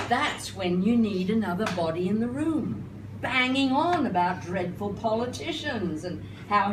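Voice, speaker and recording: Older woman reciting poetry, slow and echoey. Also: on the high side. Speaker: performance poet and singer. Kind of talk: live spoken-word poetry recital. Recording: roomy, noisy live recording, distant mic.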